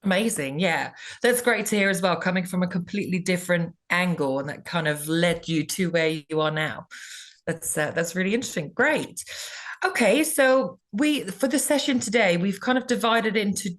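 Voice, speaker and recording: A woman's voice: on the low side, normal speed. A woman recruiter hosting a webinar over a video call, friendly and with many fillers.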